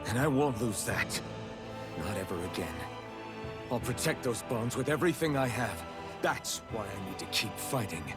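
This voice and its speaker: An actor playing an earnest, fiercely protective young warrior in a video game. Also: male voice, mid-range voice, lively intonation, normal speed, close to an everyday voice. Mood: earnest, determined. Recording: game music behind the voice.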